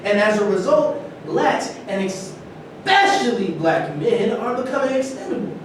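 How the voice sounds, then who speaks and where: A man acting an insistent, high-voiced embittered ex-prisoner. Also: big pitch swings, measured pace, heavily acted. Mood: indignant. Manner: argumentative, insistent. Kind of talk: staged play reading. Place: large indoor room at a live staged reading, distant mic. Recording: very echoey, noisy room from far off.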